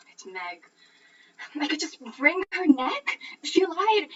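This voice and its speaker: A young woman playing an indignant, gossipy woman fuming with exasperation in an animated stage monologue. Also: extremely high pitch, very slow, heavily acted. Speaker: drama student performing a monologue. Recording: distant, dull, noisy room recording.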